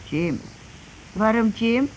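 A woman's voice: fairly high pitch, lively intonation, slow pace. A woman playing a timid, uneasy wife in a radio play, with a hesitant, stammering, anxious way of talking. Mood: doubtful. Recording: noisy old radio drama recording.

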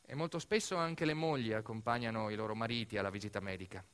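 A man performing a narrative theatre monologue as a storyteller, emphatic and dramatic, swinging between hushed and raised delivery. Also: lowish voice, quick pace, clear, resonant tone. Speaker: stage actor narrating a monologue.

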